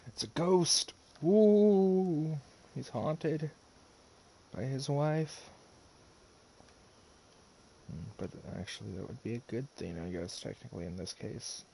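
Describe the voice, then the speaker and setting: Gravelly-voiced man streaming a game. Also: mid-range voice, lively intonation, takes it slowly, long pauses, a little hiss or hum, poor audio. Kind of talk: casual live gameplay commentary. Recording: game music loud behind voice. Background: loud game music and effects.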